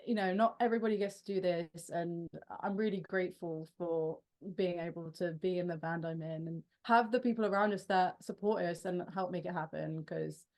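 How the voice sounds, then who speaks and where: A woman's voice: fairly low pitch, normal speed, few pauses, a little mellow. Chatty young woman singer, laughing often. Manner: chatty, self-deprecating, with laughs and restarts. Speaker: rock band frontwoman and singer. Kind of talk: casual interview answers.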